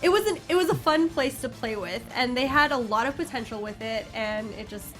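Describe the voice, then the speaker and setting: A woman co-hosts a casual film discussion. Her voice sits fairly high and moves around with lots of lively intonation. Music is clearly audible underneath. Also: fairly quick. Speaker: co-host of a film review show. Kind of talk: casual panel chat.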